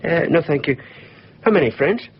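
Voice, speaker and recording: An actor playing a polite, flustered insurance assessor with a Scottish accent, hesitant and formal in a radio drama. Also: male voice, a little high, animated, normal speed, breathy edge, exaggerated voice. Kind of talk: naturalistic radio drama acting. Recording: muffled, noisy off-air radio recording.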